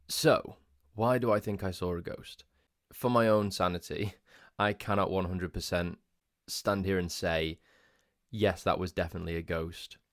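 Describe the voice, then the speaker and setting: A man with a very low, groggy voice hosts his own podcast. He rambles casually, asking himself questions and restarting sentences. The sound is dry and close. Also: steady tone, moderate pace, dry recording. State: groggy, just woken up. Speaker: podcast host. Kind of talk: casual solo podcast storytelling.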